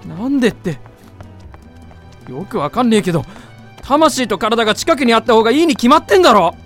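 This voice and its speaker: An actor playing an insecure, earnest young college student in love, with hesitant, emotional boyish speech in a Japanese voice drama. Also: male voice, extremely high pitch, lively intonation, a little fast, fairly bright tone, faint music behind, heavily acted. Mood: desperate. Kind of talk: Japanese audio drama acting.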